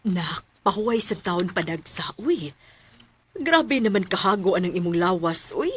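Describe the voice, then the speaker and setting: A woman playing a worried, tender middle-aged mother in a radio drama, with a husky voice and a Cebuano accent. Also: lowish voice, lively intonation, unhurried, slight background noise. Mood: weary, sorry. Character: worried, tender older mother of a grown daughter.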